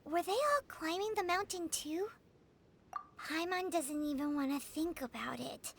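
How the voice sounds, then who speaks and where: A tiny floating girl sidekick for an anime game. The voice is extremely high and squeaky, with big swings in pitch and unhurried, childlike delivery. It sounds chirpy and naive. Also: lively intonation, a little hiss or hum, heavily acted. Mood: worried, urgent. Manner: exclamatory, naive.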